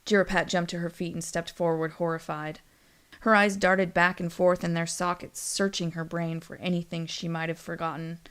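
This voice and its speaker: A woman's voice: lowish voice, flat delivery, normal speed, fairly bright tone, clean tone. A woman narrating a scripted story in a wry, dramatic way.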